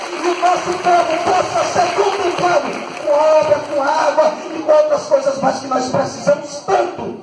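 A man's voice: very high-pitched, a little mellow. A man with a northeastern Brazilian accent giving an impassioned political speech as a mayor, emphatic and repetitive, over a PA in a hall. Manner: emphatic, repetitive, rhetorical questions. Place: large open-roofed hall, at a head table. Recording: very echoey hall public-address recording.

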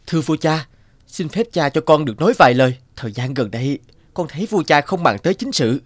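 An actor playing an upright, loving young court official and husband in a cartoon folk tale, speaking earnestly and formally. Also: male voice, on the high side, expressive, brisk, dry recording. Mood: pleading, earnest. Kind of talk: animated folk-tale dialogue.

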